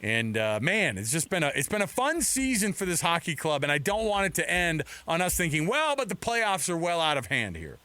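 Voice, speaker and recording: An American man co-hosting a lively sports talk radio show. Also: a little high, brisk, hardly any pauses, no room sound.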